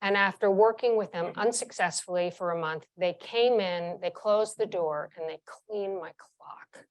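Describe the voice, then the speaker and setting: A woman's voice: medium pitch, measured pace. A woman in her sixties from New York, a former chief executive telling frank, vivid stories from her career in an interview. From New York, United States. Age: 60s. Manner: frank, vivid anecdotes with emphatic repetition. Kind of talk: candid interview storytelling.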